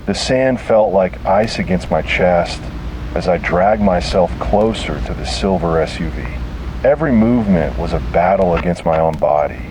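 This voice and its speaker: A man's voice: low voice, background noise. A man reading a scripted first-person horror story in a grave, dramatic way.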